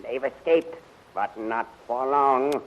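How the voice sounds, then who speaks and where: An actor playing an imperious, villainous rebel general, barking impatient commands in an old radio serial. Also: male voice, medium pitch, slow, lightly acted. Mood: urgent, vengeful. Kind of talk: 1930s radio serial acting. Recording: muffled, noisy old radio recording.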